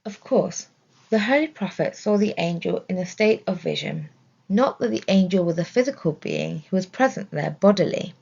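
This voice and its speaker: A woman's voice: on the low side, lively intonation, normal speed, gravelly, darkish tone, slightly rough. A woman reading a scripted religious study as narration, in a dull, muffled recording. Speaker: reader of religious study text.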